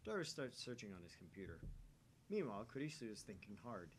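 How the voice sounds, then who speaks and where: A man reads visual novel narration aloud for a let's play. His pitch moves around in a lively way. The home recording has faint noise and rumble behind him. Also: quick pace.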